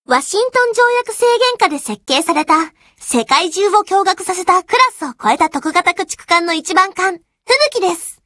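A woman's voice: very high voice, expressive, normal speed, bright, forceful tone, no echo, studio quality, heavily acted. A woman voicing an earnest, dutiful teenage warship girl in an anime game, youthful and polite. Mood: formal, proud. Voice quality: youthful, girlish. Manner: polite, diligent. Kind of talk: anime game character voice lines.

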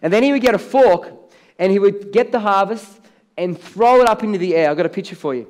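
A man's voice: high voice, a little fast, a little mellow. A middle-aged man with an Australian accent preaching a sermon on a church stage, chatty, then rising to emphatic on key words. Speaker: pastor. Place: large church stage with blue lighting.

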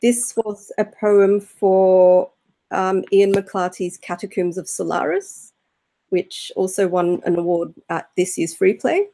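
A woman's voice: medium pitch, slow pace, dark tone, poor audio. A young woman poet on a video call, explaining her poems unhurriedly, with many fillers, from a room at home. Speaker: poet, panel guest. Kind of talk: casual video-call talk about her work. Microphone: laptop webcam on a video call.

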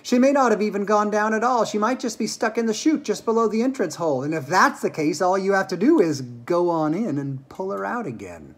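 A woman's voice: mid-range voice, expressive, brisk, hardly any pauses, pitched above the performer's own voice, lightly acted. A woman voicing a blithe, eccentric male factory owner in a storybook, breezy and matter-of-fact. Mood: calm, unbothered.

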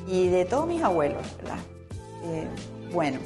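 A woman's voice: fairly low pitch, very animated, normal speed, over music. A middle-aged woman from Paraguay whose first language is Italian, chatting casually as a guest in a TV studio, with many fillers and restarts. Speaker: interview guest, painter. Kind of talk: casual interview chat. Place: TV studio set with plants.

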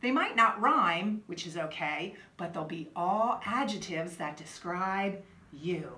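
Middle aged lady doing kids story time, teachery, bright voice, echoey living room. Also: fairly low pitch, expressive, slow. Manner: teacherly, prompting with questions. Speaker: children's story time host. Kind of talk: talking to children and a puppet. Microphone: camera a few feet away.

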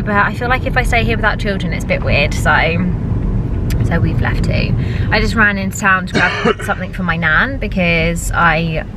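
An English woman in her thirties chatting casually and informally to a vlog camera. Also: medium pitch. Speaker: vlogger. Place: parked car, later indoor room at home. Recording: clear background noise of car and room.

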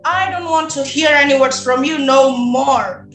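A woman's voice: high-pitched, measured pace, fairly bright tone. A woman playing a cruel, bossy stepmother who dotes on her daughter, in a readers theater. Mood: scornful, bossy. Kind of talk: readers theater acting on video call. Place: indoors on a video call. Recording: roomy echo, background music.

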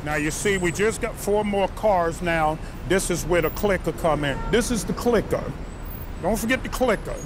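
A man's voice: sits high, moderate pace, music in the background. A man working as a taxi dispatcher outdoors, explaining his tally counter in a chatty way. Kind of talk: explaining on the job. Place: outdoor street taxi stand.

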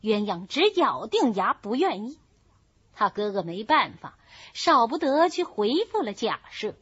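Woman narrating an old novel, breathy, very expressive up and down, slow. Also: fairly high pitch, no echo. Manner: measured, expressive storytelling. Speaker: audiobook reader. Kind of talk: classical novel reading. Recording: dull lo-fi recording.

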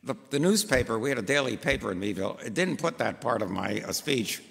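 An older male pastor with a gravelly voice, preaching into a pulpit microphone. He talks in a rambling, anecdotal way full of "uh"s, and his voice rings with long church reverb. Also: medium pitch, expressive, fast talker, a bit bright. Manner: rambling, anecdotal, with frequent fillers. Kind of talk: sermon with personal stories. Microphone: gooseneck pulpit mic.

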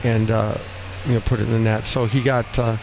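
A man in his fifties, a hockey coach speaking off the cuff in a postgame interview, blunt and halting, often repeating phrases. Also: fairly low pitch. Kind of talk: postgame interview, unscripted talk. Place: small cinder-block room indoors at a rink. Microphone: headset boom mic. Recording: noisy arena room, steady background din.

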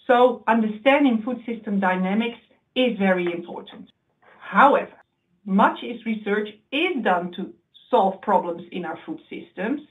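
A woman giving a webinar talk from slides, explaining in a measured way with occasional slips and restarts. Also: mid-range voice. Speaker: research presenter. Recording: tinny phone-like audio.

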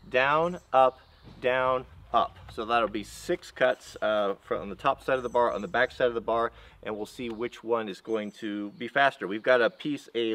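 A man's voice: mid-range voice, fairly bright tone. A man in his forties testing tools outdoors, talking casually with many restarts and fillers. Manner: rambling, halting, full of restarts and fillers. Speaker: vlogger testing chainsaws. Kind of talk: casual hands-on demonstration. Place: outdoors in a yard by a tractor.

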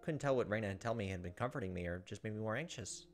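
A man's voice: fairly low pitch, expressive, very fast, faint music behind. A man in his thirties hosting a gameplay video, expressively reading a visual novel's narration aloud.

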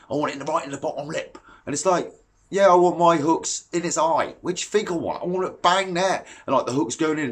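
Fishing vlogger, man in his thirties, animated and blunt, talking to a webcam in his living room. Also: on the high side. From England. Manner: blunt, animated and exasperated, gestures while talking. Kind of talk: ranting to camera. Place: living room at home, indoors. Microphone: webcam at desk distance.

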